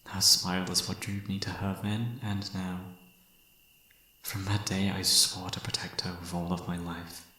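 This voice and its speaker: Man acting a low-voiced devoted young knight. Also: level tone, slow pace, long pauses, breathy edge, heavily acted. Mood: tender, wistful. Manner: formal, courtly, playfully teasing, soft-spoken sighs. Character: young male knight, devoted childhood friend, teasing yet dutiful. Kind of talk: romantic fantasy ASMR roleplay acting.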